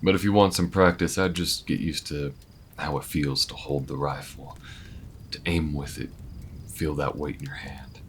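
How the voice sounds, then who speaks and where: A man's voice: very deep voice, steady tone, moderate pace, a little hiss or hum. A man voicing a laid-back, protective alien gunslinger in an intimate audio roleplay, with folksy, hesitant, reflective speech. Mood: encouraging. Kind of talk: slow-burn audio roleplay, intimate monologue to listener.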